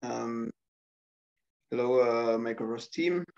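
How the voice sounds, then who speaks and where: Slow, gravelly young man. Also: mid-range voice, a little mellow. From Rwanda. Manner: hesitant, many filler sounds. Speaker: student presenting a coding project. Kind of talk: screen-share software demo. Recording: slightly dull lo-fi audio.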